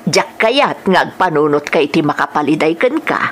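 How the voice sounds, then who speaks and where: A woman playing a gentle, caring grandmother in a radio drama, with a Filipino accent and a hesitant, drawn-out delivery. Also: low voice, lively intonation, a little hiss or hum. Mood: concerned, soothing. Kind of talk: Ilocano radio drama acting.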